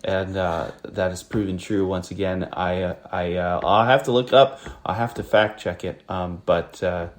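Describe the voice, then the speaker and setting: American guy from northern ohio, low voice, lots of ums and restarts, chatting on a podcast. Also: fairly even intonation, moderate pace, hardly any pauses. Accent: General American. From northern Ohio, USA. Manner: hesitant, many fillers and restarts. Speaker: podcast host. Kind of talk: casual conversation.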